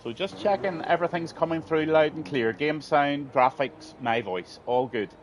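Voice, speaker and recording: A man's voice: on the high side, moderate pace, a little hiss or hum. A middle-aged man commentating a sim racing league on a live stream, chatty and friendly, his voice a little strained. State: slightly strained voice.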